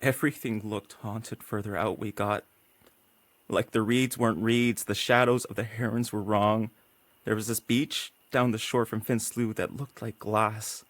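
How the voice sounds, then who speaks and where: A man's voice: lowish voice, level tone, measured pace, a bit bright, no echo. A curious, frightened boy, dry sound. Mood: scared, confessing. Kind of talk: audio drama acting.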